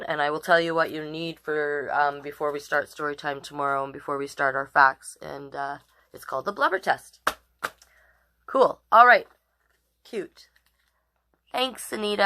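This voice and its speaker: A woman with a fairly low voice hosts a storytime for children. Her pitch swings widely as she chats with viewers and reads aloud. The delivery is casual, interactive and expressive. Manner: chatty, interactive, talks directly to viewers. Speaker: storytime host and reader. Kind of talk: casual livestream chat and children's picture-book reading.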